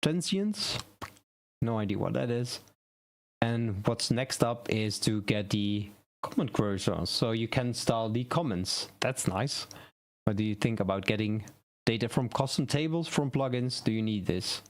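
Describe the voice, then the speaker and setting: A man's voice: lowish voice, expressive, unhurried. A man whose first language is Dutch, hosting a casual live stream on web design news, rambling and correcting himself as he thinks aloud.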